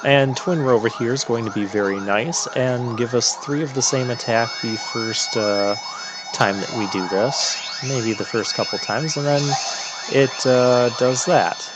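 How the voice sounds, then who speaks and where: A man giving casual, halting commentary as he plays through a video game. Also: mid-range voice, poor audio. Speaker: gamer narrating a playthrough. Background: video game music.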